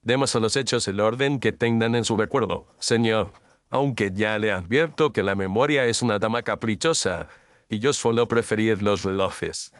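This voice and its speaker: A man's voice: on the low side, moderate pace, no echo, studio quality, natural voice. A man playing a composed, astute Victorian detective, speaking formally and incisively in an audio detective story. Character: composed, astute Victorian male detective, courteous but commanding.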